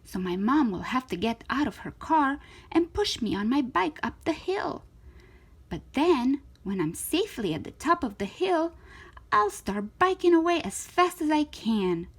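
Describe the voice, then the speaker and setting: A woman reading a children's story aloud in an expressive, theatrical way, with emphatic stretched words. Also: high-pitched, measured pace. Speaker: storytime reader of children's books.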